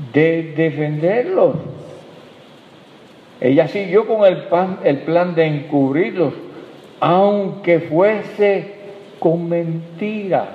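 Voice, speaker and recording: Male pastor, slightly high voice, unhurried emphatic preaching, thin echoey church recording. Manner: preaching with emphatic rises and soft drops, addressing the congregation. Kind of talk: sermon. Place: church hall. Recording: tinny, echoey hall recording.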